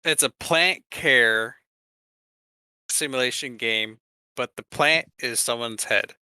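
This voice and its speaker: Male podcast co-host, casual list-reader. Crisp, bright voice, informal and a little hesitant. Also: mid-range voice, takes it slowly, no room sound. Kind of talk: casual chat, presenting a countdown list.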